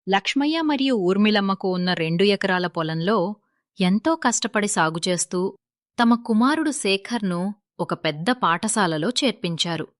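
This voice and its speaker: Casting: woman storyteller for scripted moral tales, mid-range pitch, very dry recording. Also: dry recording.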